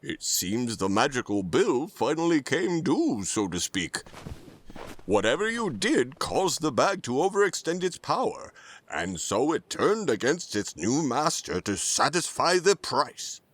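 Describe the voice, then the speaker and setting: A man playing a kindly, forgiving old Santa Claus in an audio drama, speaking in a measured, formal, avuncular way. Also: mid-range voice, sing-song, fairly bright tone. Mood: grave, forgiving.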